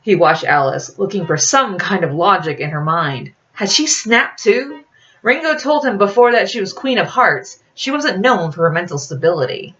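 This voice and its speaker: A woman reads a story aloud with animated, varied intonation. Her voice sits at a middle pitch, and she narrates expressively. The recording is a little dull and lo-fi. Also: normal speed, distant mic. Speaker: author reading own novel aloud. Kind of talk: expressive fiction reading with acted dialogue. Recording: dull lo-fi audio.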